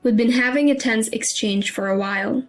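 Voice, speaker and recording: High-voiced woman narrating a story. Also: moderate pace, soft background music. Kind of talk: scripted first-person story narration.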